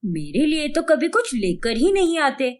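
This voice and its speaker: A woman's voice: sits high, sing-song, moderate pace. Woman voicing a huffy, jealous older wife. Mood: jealous sulking. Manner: complaining, huffy. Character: jealous, sulking older wife and mother-in-law.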